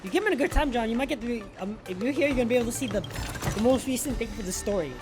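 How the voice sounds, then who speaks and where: A young woman giving casual, slangy live gameplay commentary as an excitable streamer who swears freely. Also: on the high side, rapid-fire. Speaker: game streamer. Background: loud game music.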